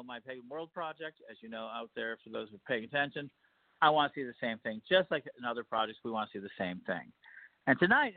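An older man hosts a casual podcast, rambling and hesitating with plenty of fillers. His voice sits at a middle pitch and comes through a thin, phone-like line. The sound is close and dry. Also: no echo. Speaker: podcast host.

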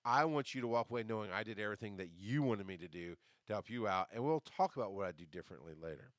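A man hosting a podcast, telling a story in casual, profane, animated fashion and acting out what people said, with a deep, bass-heavy voice. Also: fast talker, no echo, studio quality. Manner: animated, profane, acts out quoted lines. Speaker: podcast host.

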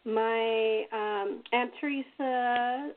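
Lady host chatting casually, kinda high voice, stop and start, tinny call audio. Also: fairly even intonation, drawn-out words. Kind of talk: casual group conversation. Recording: thin phone-like call audio.

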